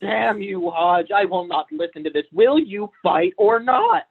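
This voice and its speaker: The sound is narrow and phone-like. A young man plays a pompous poet and husband in a period stage comedy, his voice pitched somewhat high. He is vain and blustering, with stiffly formal speech. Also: expressive, a little slow, gravelly, husky, exaggerated voice. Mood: outraged. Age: young adult. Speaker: student actor.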